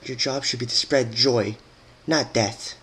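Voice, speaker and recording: A man's voice: mid-range voice, fairly even intonation, measured pace, fairly bright tone, slightly rough. A man voicing a wrathful, regal female pony princess who scolds in a commanding, accusatory way in a dramatic reading. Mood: scornful reproach. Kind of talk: dramatic fan-fiction reading.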